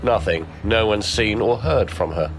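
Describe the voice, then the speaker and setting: An actor playing a blunt but concerned military-style handler in an audio drama, frank and authoritative. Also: male voice, lowish voice, unhurried, noisy background, lightly acted. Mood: businesslike, grave. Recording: music bed behind the voice.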